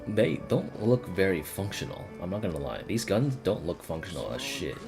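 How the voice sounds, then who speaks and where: Male game streamer, deep and fry-edged, animated swings in pitch, casual and foul-mouthed. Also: normal speed, over music. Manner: profane, sardonic. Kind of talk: casual live playthrough commentary, reading dialogue aloud.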